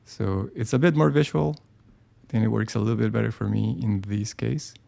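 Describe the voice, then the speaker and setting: A man's voice: fairly low pitch, fairly even intonation, fairly quick, vocal fry, dark tone. A man walking through a design tutorial live on screen, talking casually and thinking aloud, with frequent ums and restarts. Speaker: design software presenter.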